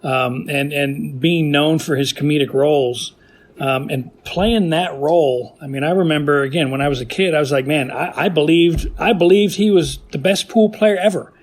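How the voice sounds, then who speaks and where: Male podcast listener, voice a little high, chatting casually and keenly about classic TV, circling back and pausing often. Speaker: listener sending audio feedback to a podcast. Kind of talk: casual spoken commentary on classic TV.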